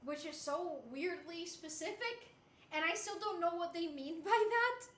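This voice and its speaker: A woman's voice: very high-pitched, unhurried, reverberant, slight background noise. A woman with a slight, Americanized Puerto Rican accent and Spanish as her first language, chatting casually and playfully as a livestreamer. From Puerto Rico. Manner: playful, expressive streamer delivery, switches into Spanish. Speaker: livestreamer reading and answering chat.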